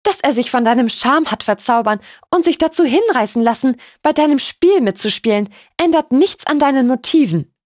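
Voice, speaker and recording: A female narrator acts out a haughty older noblewoman from a novel. She speaks with venom and cutting condescension, her pitch pushed up high, in a close, dry recording. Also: animated, a little slow, dry recording. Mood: venomous. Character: haughty, venomous aristocratic older lady, hostile mother.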